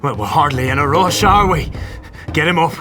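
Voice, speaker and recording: An actor playing a ruthless, cynical, foul-mouthed mercenary leader, brash and flippant, in a fantasy audio drama. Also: male voice, on the high side, expressive, normal speed, lightly acted. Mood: brusque, urgent.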